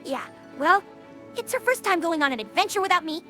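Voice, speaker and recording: Brash, loyal young female pegasus pony, very high and swooping, youthful and cocky, informal cartoon dialogue. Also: animated, a little fast, sharp tone, faint music behind, exaggerated voice. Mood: reflective. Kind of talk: animated cartoon-style audio drama dialogue.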